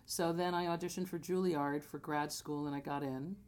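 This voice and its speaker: Low-voiced American woman telling stories casually. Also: fairly even intonation, normal speed, a little mellow. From born in Jamaica, grew up in New Jersey. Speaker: podcast guest, musical theater singer and actor, voice teacher. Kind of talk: casual conversational storytelling.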